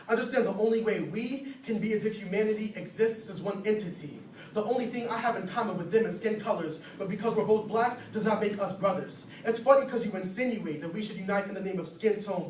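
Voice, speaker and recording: A man performing slam poetry as a spoken word poet, with a rhythmic, rhyming, declamatory delivery. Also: sits high. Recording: roomy, distant mic, faint noise.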